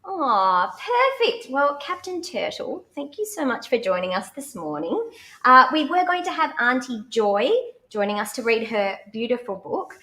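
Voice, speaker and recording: Animated, high-pitched Australian woman hosting kids' show. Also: unhurried. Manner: animated, exclamatory, addressing young viewers. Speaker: children's show host. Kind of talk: live children's show presenting. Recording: roomy, echoey live stream sound.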